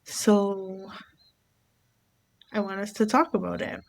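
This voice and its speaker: A woman from Barbados hosting her own podcast, talking through her thoughts in an unhurried, reflective way. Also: medium pitch, steady tone, creaky. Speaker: podcast host. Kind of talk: reflective solo podcast monologue.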